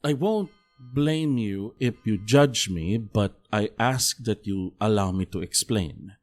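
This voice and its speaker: An actor playing a polite, earnest visiting priest in an audio drama, gentle, hesitant and formal. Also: male voice, on the low side, expressive, takes it slowly. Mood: earnest, confessional.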